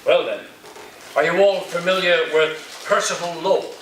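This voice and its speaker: A man in a staged play reading, playing an eccentric, grandiose literature professor with deliberate, dramatic pauses. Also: on the high side, fairly bright tone, lightly acted. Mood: lecturing. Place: room, live staged reading, recorded from afar. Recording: distant roomy stage recording, noisy.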